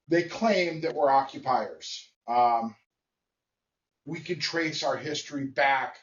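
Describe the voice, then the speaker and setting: A man delivers his opinions in clipped, emphatic phrases, pausing often between them. His voice sits a touch high for a man. The recording is distant and slightly muffled. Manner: emphatic, blunt and colloquial, with pauses between short phrases. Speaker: vlogger. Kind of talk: personal opinion monologue to camera.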